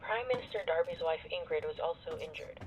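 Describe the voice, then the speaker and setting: Actress as female news reporter, formal and composed, slightly low voice, tinny broadcast filter, music underneath. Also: brisk, darkish tone, slight background noise. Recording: band-limited broadcast sound over music.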